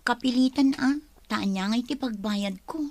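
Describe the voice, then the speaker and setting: A woman's voice: on the high side, normal speed, no echo. A young woman with an Ilocano Filipino accent playing an earnest, dutiful student daughter in a radio drama. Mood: troubled.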